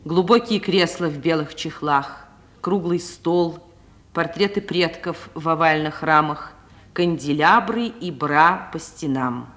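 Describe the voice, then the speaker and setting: Low-voiced woman narrator. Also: takes it slowly, a bit bright. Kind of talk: scripted narration of stage directions.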